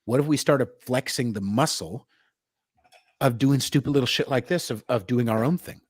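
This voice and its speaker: Male podcast host from New York, mid-pitched, blunt and profane, dry close mic. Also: moderate pace, husky, no echo. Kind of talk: casual chat.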